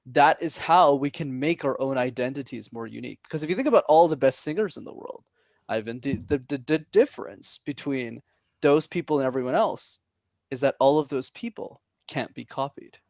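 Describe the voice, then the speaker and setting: Young male podcast guest, public speaking coach; slight vocal fry, enunciates every word. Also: medium pitch, lively intonation, darkish tone, dry recording, clean studio sound. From Canada. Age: young adult. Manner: clear deliberate enunciation, coaching tone. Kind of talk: podcast interview.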